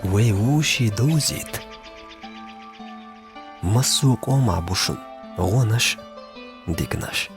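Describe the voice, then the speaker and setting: Over clear background music, an actor plays a grave man in an animated drama. His voice is very low and he speaks slowly, in short, solemn phrases. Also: exaggerated voice. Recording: voice under loud background music.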